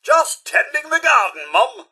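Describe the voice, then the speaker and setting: An actor playing a prim, loyal robot butler in a video game, with a British accent and a formal, theatrical, fussily polite manner. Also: male voice, very high-pitched, very animated, unhurried, bright, forceful tone, exaggerated voice. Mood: cheerful, deferential. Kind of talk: scripted video game companion dialogue.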